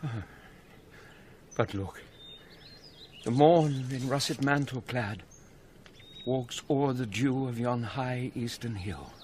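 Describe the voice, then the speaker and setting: Declamatory British Shakespearean actor as a scholarly gentleman. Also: medium pitch, slight background noise, lightly acted. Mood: solemn, thoughtful. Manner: declamatory, measured verse delivery. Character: grave scholarly gentleman confronting a ghost on night watch. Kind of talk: classical Shakespearean radio drama acting.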